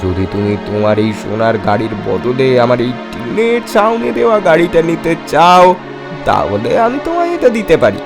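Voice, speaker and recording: A man playing a sly, scheming cart puller in an animated moral story, wheedling with false sympathy. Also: mid-range voice, normal speed, gravelly, music in the background. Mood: coaxing.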